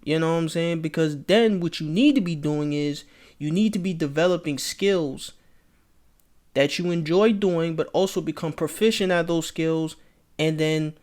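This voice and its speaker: A laid-back man with a fairly high voice, chatting through a reaction video. He talks straight to the viewer in a loose, casual way and likes to repeat his points. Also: moderate pace, darkish tone. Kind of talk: casual commentary.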